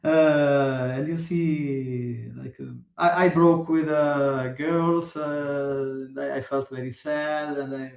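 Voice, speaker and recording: A man with an Italian accent, a guest musician chatting in an interview, pausing often as he searches for words in English. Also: on the high side, steady tone, creaky, darkish tone, reverberant. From Italy. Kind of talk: casual interview chat.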